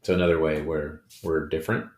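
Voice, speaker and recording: A middle-aged man co-hosting a podcast, talking reflectively in casual conversation, with many pauses and restarts. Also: rumbling low, level tone, darkish tone. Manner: hesitant, many ums and restarts. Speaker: podcast co-host. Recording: echoey room.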